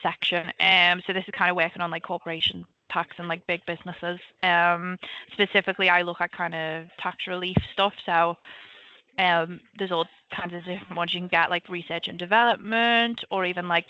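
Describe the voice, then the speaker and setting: A woman in her twenties with a Liverpool accent, a government analyst giving a chatty, informal career talk at a quick clip. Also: lowish voice, little pitch movement, bright, forceful tone, dull audio. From Liverpool, England. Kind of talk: casual webinar presentation.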